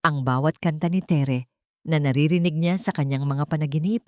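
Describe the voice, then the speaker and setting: A woman narrates a dramatic story with theatrical gravity. Her voice sits on the low side, and the recording is very dry and a little dull. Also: normal speed, no echo. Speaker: audiobook narrator.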